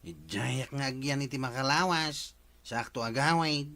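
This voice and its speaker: A man's voice: medium pitch, lively intonation, unhurried, sharp tone. An actor with an Ilocano accent playing a carefree, evasive village watchman in a radio comedy drama. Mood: casual, evasive.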